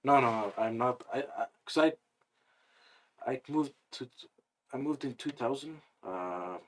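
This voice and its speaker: A young man from Afghanistan, a guest in a casual interview, talking in a relaxed, rambling way with many fillers. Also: mid-range voice, a little slow.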